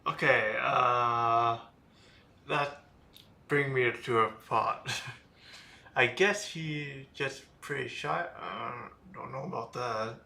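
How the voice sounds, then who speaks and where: A streamer reacting to a game in slow, halting casual speech with a bright, tense tone. The voice sounds roomy and echoey, with game music behind it. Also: medium pitch, vocal fry. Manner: halting, laughing, non-native grammar. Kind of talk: casual livestream commentary.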